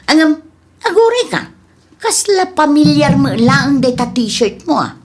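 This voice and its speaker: A nosy village wife played by an actress in a radio comedy. She has a high voice and a chatty, inquisitive way of talking. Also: sing-song, takes it slowly, exaggerated voice. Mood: teasing, curious. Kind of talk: comedy radio drama acting.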